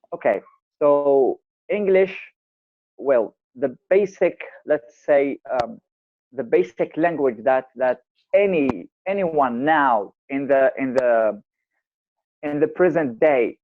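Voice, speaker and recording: A man from Algeria with an Algerian accent, a native Arabic speaker, talking slowly and deliberately in a casual online group chat as a linguist. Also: mid-range voice. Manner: slow and deliberate, many fillers and repeats. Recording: tinny phone-like online call audio.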